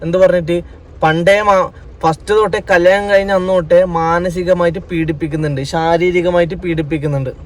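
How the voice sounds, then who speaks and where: A man's voice: fairly high pitch, fairly even intonation, brisk. A man with a Kerala Malayalam accent giving unscripted news commentary, addressing people directly and admonishingly with repeated points. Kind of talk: unscripted opinion commentary to camera.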